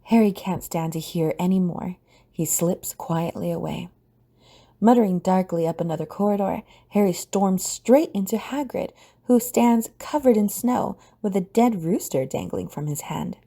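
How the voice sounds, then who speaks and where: Mellow, husky woman narrator. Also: mid-range voice, normal speed. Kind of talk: scripted audio drama narration.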